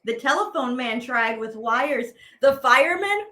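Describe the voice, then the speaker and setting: Expressive woman reading playfully, high and lively. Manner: playful, theatrical storytelling with drawn-out words and sound effects. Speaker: teaching artist and program host. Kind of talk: expressive read-aloud of children's poems.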